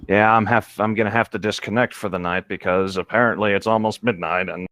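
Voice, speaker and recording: A man with a slightly low voice, reading aloud in an amateur style and chatting casually. He sounds somewhat hesitant and unassuming. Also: fairly even intonation, fairly quick, fairly bright tone, no room sound.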